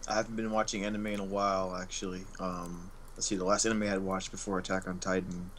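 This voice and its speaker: Laid-back man for a livestream panel, deep voice, hesitant delivery. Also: little pitch movement, fast, a bit bright, slight background noise. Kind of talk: casual livestream chat.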